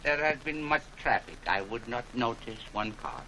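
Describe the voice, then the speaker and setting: A man's voice: medium pitch, sing-song, moderate pace, sharp tone. An actor playing an evasive, politely unhelpful male bazaar merchant with formal phrasing in a 1940s film serial. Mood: guarded. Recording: faintly noisy old film soundtrack.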